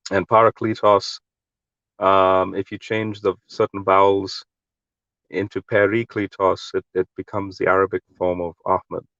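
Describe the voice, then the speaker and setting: A man's voice: low voice, little pitch movement, unhurried, fairly bright tone, dry recording. Dry lo-fi man explaining with restarts. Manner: earnest, explanatory, with many fillers and restarts. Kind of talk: casual discussion. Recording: dry, dull lo-fi audio.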